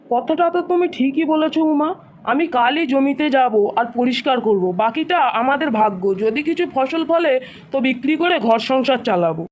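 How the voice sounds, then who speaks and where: A woman's voice: high voice, lively intonation, a little fast, darkish tone, slight background noise. A woman voicing a humble, hard-working poor farmer man in a cartoon, earnest and plain-spoken. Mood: hopeful.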